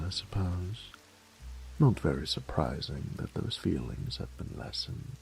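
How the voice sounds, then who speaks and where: A man's voice: low voice, gravelly, a little mellow, heavily acted, low-quality recording. A man playing an ancient, haughty, predatory vampire lord in an audio drama, with a pompous, condescending, theatrical drawl and whispered asides. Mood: mocking pity. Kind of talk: scripted roleplay audio drama.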